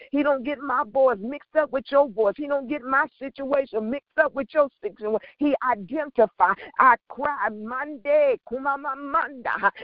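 A woman's voice: high voice, no echo, phone-quality sound. A woman preacher delivering a fervent, extemporaneous Pentecostal-style sermon in short, emphatic, repeated phrases, punctuated with "huh" and praise interjections.

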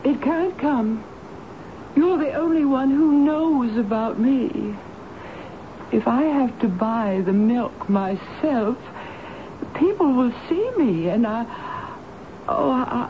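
An actress playing a dramatic, soft-hearted but indignant spinster tenant in a radio drama, speaking in a theatrical, emphatic way. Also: female voice, sits high, narrow, tinny audio. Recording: thin, noisy vintage radio broadcast.

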